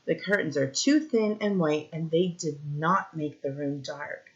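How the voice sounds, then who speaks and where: A woman reading a picture book aloud to young children like a teacher, explaining simply and pausing to ask questions. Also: deep voice, expressive. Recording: dull, lo-fi recording.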